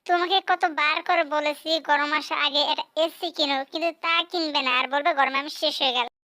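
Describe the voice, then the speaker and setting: A woman voicing a sharp-tongued, scolding, sarcastic wife fox in a cartoon. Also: sits high, steady tone, a little fast, no echo. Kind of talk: cartoon character dialogue.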